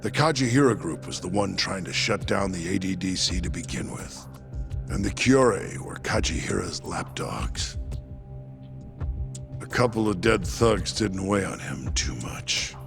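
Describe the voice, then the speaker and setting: A cynical crime henchman in a game cutscene. His low voice is gravelly and his delivery offhand and tough, with music playing clearly behind him. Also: lively intonation, measured pace, full character voice. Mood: cynical, unbothered. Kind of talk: English video game cutscene acting.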